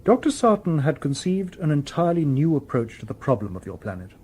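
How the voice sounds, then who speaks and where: A formal robot detective, mellow voice. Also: male voice, a little high, big pitch swings, breathy edge. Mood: calm, formal. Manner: formal, precise, measured phrasing. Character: calm, courteous, literal-minded male humanoid robot detective. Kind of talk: radio drama acting.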